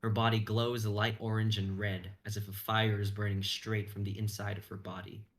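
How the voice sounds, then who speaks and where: Low-voiced young man narrating dramatically. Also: flat delivery, normal speed, fairly bright tone. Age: young adult. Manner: intense, suspenseful storytelling. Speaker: stream host and narrator.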